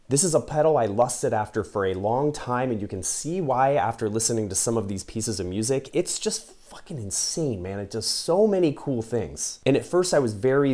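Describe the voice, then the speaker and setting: A man's voice: mid-range voice, dark tone. A man giving a casual, informal and enthusiastic voice-over as a YouTuber reviewing gear. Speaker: YouTuber reviewing a guitar effects pedal. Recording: music playing behind the voice. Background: music.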